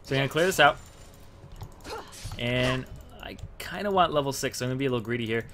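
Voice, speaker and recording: A man's voice: mid-range voice, lively intonation, fast talker, fairly bright tone, slight background noise. A young man giving casual, explanatory gameplay commentary, thinking aloud as he plays. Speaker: gamer making a strategy guide. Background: faint game music and sounds.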